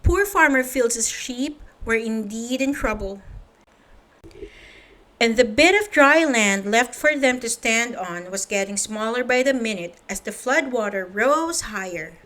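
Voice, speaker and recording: Female children's story reader, unhurried, slightly high voice, addressing the little listener directly. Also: expressive, a bit bright. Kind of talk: read-aloud storybook narration.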